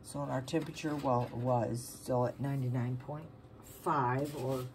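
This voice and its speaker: A woman's voice: very deep voice, steady tone, normal speed. A plain-spoken, folksy woman giving casual home-video narration on a noisy phone recording. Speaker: farm vlogger.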